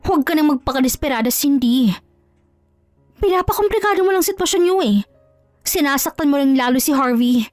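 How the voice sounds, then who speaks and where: A woman's voice: high-pitched, animated, a little fast, long pauses, a little mellow, no room sound, soft background music, voice pushed up. A woman playing a scheming false friend, smug, scornful and insinuating, in a melodramatic audio drama.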